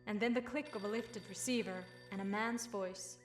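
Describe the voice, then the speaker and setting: A woman's voice: medium pitch, steady tone, moderate pace. Echoey woman narrator over music. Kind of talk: scripted story narration. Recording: roomy, echoing voice track.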